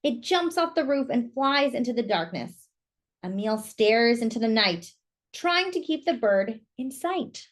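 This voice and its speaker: A woman storyteller with a fairly high voice reads a children's picture book. She takes her time, with lively, expressive intonation, and chats to young listeners with questions along the way. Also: unhurried.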